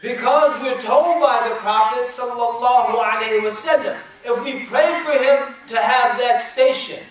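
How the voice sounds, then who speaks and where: High-voiced man preaching in an echoey hall. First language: English. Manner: preaching, switching to quick Arabic recitation of verses. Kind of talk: sermon. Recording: very echoey room, voice from a distance.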